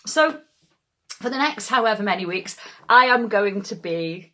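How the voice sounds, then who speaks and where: A woman's voice: mid-range voice, expressive, unhurried, sharp tone. A Welsh woman leading a choir from home, chatting casually and self-deprecatingly with lots of ums. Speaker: choir leader. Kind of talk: casual chat to camera. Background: faint keyboard.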